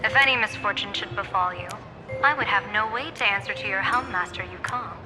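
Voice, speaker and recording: A woman's voice: mid-range voice, brisk, close to an everyday voice. A woman voicing an enigmatic, shrewd general and fortune-teller in a video game, poised and formal with a teasing, imperious streak. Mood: solemn. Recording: loud music over the voice.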